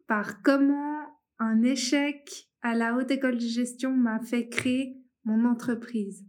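A young woman hosts a casual interview and asks her questions in a friendly, informal tone. Her voice sits high, and she now and then pauses with fillers. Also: fairly even intonation, a little mellow. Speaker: podcast host, interviewer.

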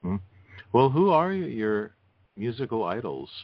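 A man's voice: mid-range voice, big pitch swings, measured pace, dry recording, lo-fi sound. A man hosting a conversational music interview, encouraging his guest with short affirming interjections.

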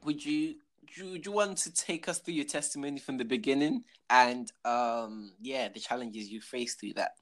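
A young man from England hosts a casual podcast interview. He talks at a middling pitch and keeps stopping for ums and quick restarts as he gathers his thoughts. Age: young adult. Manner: hesitant, many ums and restarts. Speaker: podcast host.

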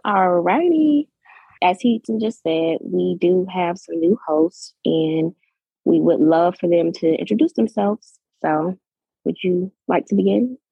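A young woman from Florida co-hosts a podcast in an upbeat tone. Her voice is low and gravelly, and it sounds husky and dark. The recording is dry and muffled. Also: a little slow, no echo. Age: 20s. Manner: upbeat, laughs often. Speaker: podcast co-host. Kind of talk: casual podcast hosting.

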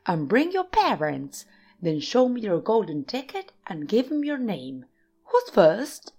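A woman voicing an effusive, excitable little eccentric male factory owner in a high, fluty storybook voice. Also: creaky. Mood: welcoming. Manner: effusive, exclamatory, polite, rapid repeated phrases. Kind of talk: storybook character voice.

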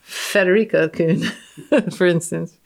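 A woman's voice: fairly low pitch, slow pace, vocal fry, darkish tone. A woman guesting on a podcast as a physicist, reading aloud and commenting casually in an offhand, matter-of-fact way.

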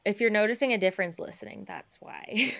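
A woman's voice: mid-range voice, brisk, creaky, fairly bright tone. A young woman co-hosting a podcast, chatting casually with many fillers and frequent laughs. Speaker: podcast co-host and editor. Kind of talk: casual chat.

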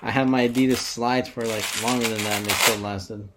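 A man's voice: medium pitch, steady tone, fairly quick, very noisy. A young man co-hosting a casual podcast from a room, joking around and laughing often into a desk mic. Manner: laughs often, banters loosely. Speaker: podcast co-host. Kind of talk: casual chat. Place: indoor room.

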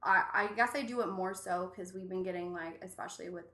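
Cleveland bartender woman, cleveland accent, bright voice, chatty, echoey room. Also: fairly low pitch, brisk. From Cleveland, Ohio. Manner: chatty, many likes, animated. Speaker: bartender guest. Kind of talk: casual podcast chat. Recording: roomy echo.

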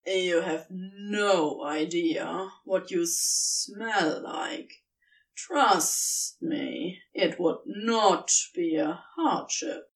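Woman voicing a slow, laid-back flirtatious serpent. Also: on the low side, lively intonation, creaky, distant mic, voice pushed down, heavily acted, low-quality recording. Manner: casual, hesitant, teasing. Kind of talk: audiobook-style fan fiction character reading.

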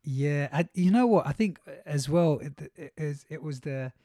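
A man's voice: a little high, normal speed, dark tone, no echo, studio quality. Informal, meandering man, closely recorded. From London, England. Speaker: podcast host. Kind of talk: casual chat.

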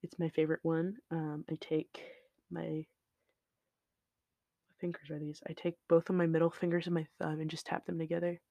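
A podcast host talking in an unscripted solo chat, with a flat, monotone delivery, rambling from one tangent to the next with lots of fillers, into a shelf-mounted mic. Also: medium pitch, normal speed, vocal fry, no room sound. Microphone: shelf-mounted studio mic.

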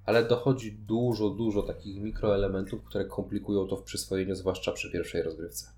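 A man's voice: lowish voice, level tone, fairly quick, darkish tone, a little hiss or hum. A man talking casually about board games as a podcast co-host. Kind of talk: casual board game discussion.

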